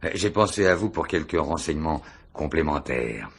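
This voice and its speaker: Low, husky, wily police commissioner. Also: male voice, expressive, normal speed, heavily acted. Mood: sly, insistent. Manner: repeats words, probing, mock-polite. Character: wily, insistent male police commissioner, falsely courteous. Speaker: actor in a radio mystery play. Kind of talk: French radio crime drama acting.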